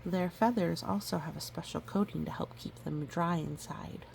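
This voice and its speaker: A woman reading a storybook aloud gently and adding educational asides. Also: lowish voice, normal speed, vocal fry, low-quality recording. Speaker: storybook reader. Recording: faint background noise.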